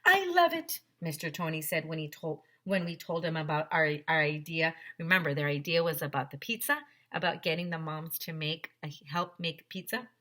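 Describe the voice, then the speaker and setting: A woman teacher reading a children's story aloud in an expressive, simple storytelling manner. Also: deep voice, brisk, hardly any pauses.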